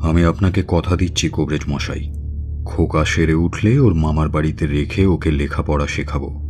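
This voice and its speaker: Deep-voiced, remorseful landowner. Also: male voice, fairly even intonation, normal speed, darkish tone. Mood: grave, remorseful. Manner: solemn, earnest. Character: landowner, worried remorseful father making promises. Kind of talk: audio drama acting. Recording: faint music behind the voice.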